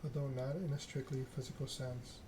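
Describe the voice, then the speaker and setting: A man's voice: medium pitch, steady tone, fairly quick, background noise, natural voice. A man voicing a refined, worldly female vampire mentor in a story game, speaking in a courteous, instructive way. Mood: grave, instructive.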